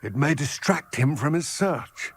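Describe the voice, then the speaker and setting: An actor voicing an aging, proud goblin in a video game, speaking in a formal, measured, eloquent way. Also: male voice, on the high side, animated. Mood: pleased, grateful. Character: aging male goblin, solemn, proud, formal former fighter. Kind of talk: video game cutscene acting.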